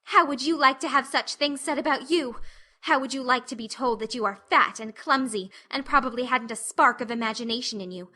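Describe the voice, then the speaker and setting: A woman voicing a fiery, passionate red-haired orphan girl, shouting in choked fury. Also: high-pitched, a little fast, voice pushed up. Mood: indignant.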